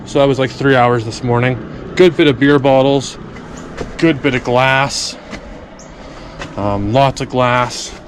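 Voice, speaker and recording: Sniffly man vlogging outdoors, street noise. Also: mid-range voice. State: sniffly with a runny nose. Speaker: vlogger collecting bottles and scrap. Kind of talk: casual outdoor vlog chat.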